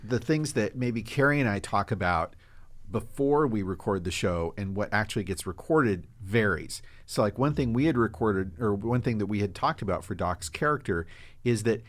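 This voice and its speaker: A man co-hosting a podcast in casual, jokey discussion, quick to laugh. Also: fairly low pitch, expressive. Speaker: podcast co-host.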